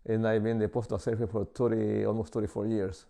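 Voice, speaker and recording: A man in his sixties from El Salvador, with a Salvadoran Spanish accent, answering interview questions in halting English full of pauses and fillers. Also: lowish voice, level tone, gravelly, mellow. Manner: halting, many uh fillers and restarts.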